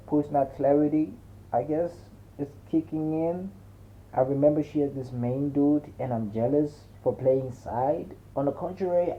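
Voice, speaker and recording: A man narrating a dramatic first-person story in a colloquial, slangy way. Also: a little high. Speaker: audiobook narrator. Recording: muffled, narrow phone-like sound.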